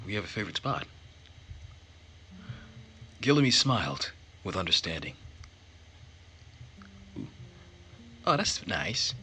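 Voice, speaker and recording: A man's voice: low voice, sharp tone, a little hiss or hum. A man hosting a gaming video, narrating a visual novel aloud and adding casual commentary. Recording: music behind the voice.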